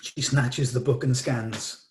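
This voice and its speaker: A man's voice: mid-range voice, fairly even intonation, slow pace. A man narrating stage directions from a script at a table read.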